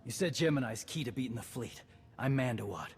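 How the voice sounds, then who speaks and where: An actor playing a proud, loyal young warrior who speaks earnestly. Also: male voice, medium pitch, animated, brisk, gravelly, big-room sound.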